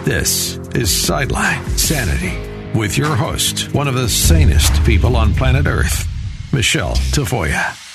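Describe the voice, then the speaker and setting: A man's voice: mid-range voice, big pitch swings, measured pace, gravelly. A man reading a scripted show intro with dramatic announcer delivery. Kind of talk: scripted promo voice-over. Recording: voice-over over loud music.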